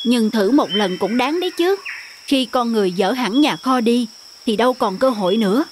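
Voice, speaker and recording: A woman's voice: a little high, lively intonation, moderate pace, heavy background noise, natural voice. A woman voicing a plucky, self-assured cartoon rooster, reassuring in manner. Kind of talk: cartoon dubbing.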